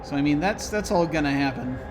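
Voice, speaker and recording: Man, gameplay commentary, thinking aloud, halting, slightly high pitch, game music behind. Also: lively intonation. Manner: musing aloud, halting, chuckling to himself. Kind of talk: casual let's-play commentary.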